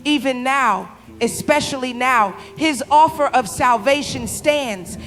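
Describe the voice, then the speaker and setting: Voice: high-pitched, takes it slowly, a bit bright, exaggerated voice. A performer playing a solemn, earnest pastor who comforts a congregation in a scripted sermon for an apocalyptic audio drama. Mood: solemn, urging.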